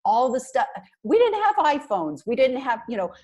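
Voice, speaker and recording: A woman's voice: high-pitched, moderate pace. A middle-aged woman from Canada, a marketing consultant, talking animatedly and emphatically as a guest on a video call through an earphone headset. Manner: animated, talks with her hands, chatty and emphatic. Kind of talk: casual video call interview. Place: small bright room indoors.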